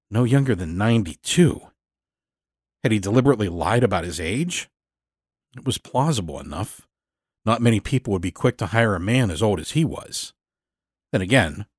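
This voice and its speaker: A man reading scripted first-person fiction as a storyteller, in a measured, dramatic manner. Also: on the low side, slightly rough, no echo, studio quality. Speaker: storyteller, podcast narrator.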